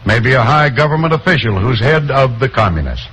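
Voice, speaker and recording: A man's voice: medium pitch, animated, a little fast, fairly bright tone, lightly acted. An American actor playing an earnest, persuasive male radio station boss in a 1950s radio drama, recorded on noisy old equipment.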